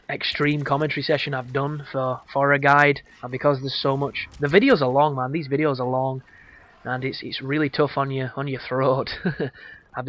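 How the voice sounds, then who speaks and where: A man with a hoarse voice, giving casual, crude, rambling commentary over a game walkthrough. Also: medium pitch, a bit bright. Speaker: gameplay commentator.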